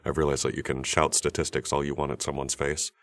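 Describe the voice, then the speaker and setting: A man's voice: very deep voice, flat delivery, quick pace, fairly bright tone. A man hosting a podcast interview, listening closely and asking questions. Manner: attentive, frequent short backchannels. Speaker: podcast host.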